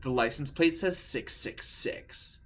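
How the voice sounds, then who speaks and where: Man, mid-pitched voice, deadpan comic read-aloud, mock-serious storytelling. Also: slow, slight background noise. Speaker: streamer reading fan fiction. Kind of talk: dramatic comic reading aloud.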